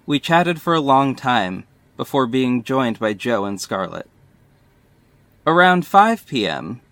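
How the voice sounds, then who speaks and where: A man's voice: medium pitch, a bit bright, no echo. An actor playing a sassy, defiant male hero who narrates his own story in an audio drama, with dramatic, pointed delivery. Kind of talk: first-person audio drama narration and dialogue.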